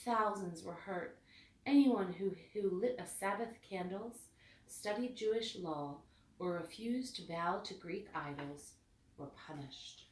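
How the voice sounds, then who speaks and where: A woman's voice: mid-range voice, measured pace, slight background noise. Woman reading aloud in an echoey room. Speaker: children's story reader. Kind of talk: read-aloud storybook narration. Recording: echoey room, distant mic.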